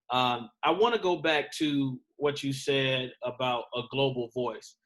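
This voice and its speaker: A man's voice: mid-range voice, fairly bright tone. Man, host, polite, hesitant, ums, questions. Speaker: interviewer, host. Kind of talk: interview questions.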